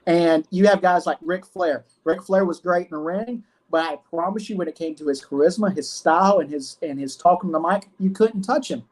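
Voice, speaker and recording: A man's voice: high-pitched, brisk, hardly any pauses, darkish tone, low-quality recording. A man in his twenties, a wrestling guest answering earnestly and often repeating himself. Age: 20s. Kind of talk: casual interview.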